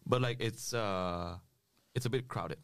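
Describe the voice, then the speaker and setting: Young male guest, smooth tone, unsure delivery, lots of fillers, dry mic. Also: on the low side, measured pace, no room sound. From Vietnam. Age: young adult. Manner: hesitant, many fillers and restarts. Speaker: podcast guest. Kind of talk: casual group chat.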